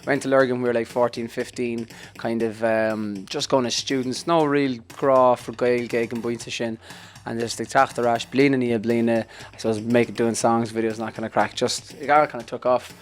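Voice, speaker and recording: A man's voice: mid-range voice, little pitch movement. A young Irish man in his twenties, a band member chatting casually and joking in an interview, speaking into a handheld mic in a backstage room. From Ireland. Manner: joking, rambling, quick.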